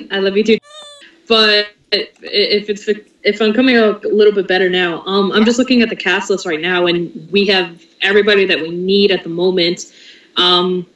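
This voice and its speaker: A woman chatting casually in an online team meeting, playful and informal, halting as she goes. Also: mid-range voice, steady tone, a bit bright. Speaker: team member running casting and script. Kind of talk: casual group meeting talk. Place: online group voice call. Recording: distant, echoey, dull voice-call audio.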